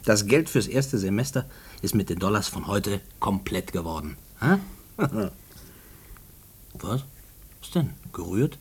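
An actor in a post-war German radio play playing a haunted, fatalistic family man, brooding and halting in manner. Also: fairly low pitch, sing-song, slightly rough, exaggerated voice. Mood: teasing. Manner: brooding, halting, often dropping to a whisper. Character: haunted, fatalistic husband and father, a murderer awaiting execution.

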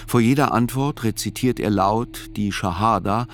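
An actor playing a writer who narrates a trial in a radio play, in a measured, sober, observant way. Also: male voice, fairly low pitch, slight background noise, natural voice.

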